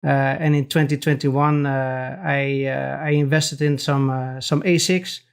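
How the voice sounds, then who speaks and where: A man from the Netherlands with a Dutch accent, a podcast guest talking casually with frequent hesitant pauses. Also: medium pitch, steady tone, gravelly, clear, resonant tone. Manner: hesitant, many pauses and restarts. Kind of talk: casual interview chat.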